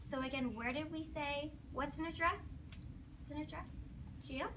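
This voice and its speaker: A woman's voice: sits high, fairly even intonation, normal speed. A woman teacher reading a storybook aloud to young children, encouraging them and putting questions to them as she goes. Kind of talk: interactive classroom storybook read-aloud. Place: classroom with children. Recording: noisy classroom, distant microphone.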